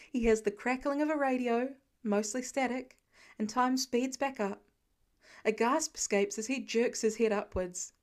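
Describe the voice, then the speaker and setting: A woman with a fairly high voice narrates a piece of fiction. She reads from a script in audiobook style, telling the story in the present tense. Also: a little mellow. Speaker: podfic narrator.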